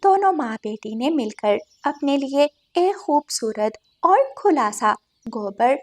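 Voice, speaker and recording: High-voiced woman narrating a story. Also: lively intonation, takes it slowly. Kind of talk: scripted cartoon story narration.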